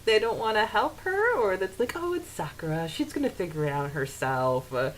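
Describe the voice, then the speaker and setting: A woman co-hosting a podcast, chatting casually in a giggly, animated way as she discusses a story and reads out bits of it. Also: mid-range voice, moderate pace, rough recording. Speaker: podcast co-host. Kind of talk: casual fan discussion with read-out quotes. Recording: hissy background noise.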